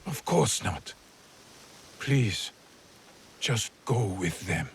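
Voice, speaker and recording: An actor playing a stern, guarded feudal lord and father in a game cutscene, speaking curtly and with measured gravity. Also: on the low side, very animated, vocal fry, a little hiss or hum, full character voice. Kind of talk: video game cutscene acting.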